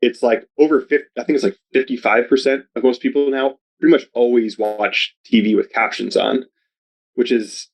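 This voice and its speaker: A man's voice: medium pitch, fairly even intonation, moderate pace, slightly rough. A man speaking as a podcast guest in casual chat, rambling and upbeat, filling his talk with "like". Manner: rambling, heavy use of "like", upbeat. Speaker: podcast guest, video advertising researcher.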